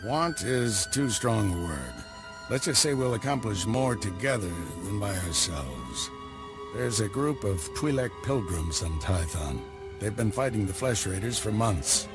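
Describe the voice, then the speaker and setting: A man voicing a calm, wry Jedi master who gives guidance and orders to his apprentice, in a studio video game cutscene. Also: fairly low pitch, unhurried. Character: wry, patient older Jedi master and mentor. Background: orchestral game music.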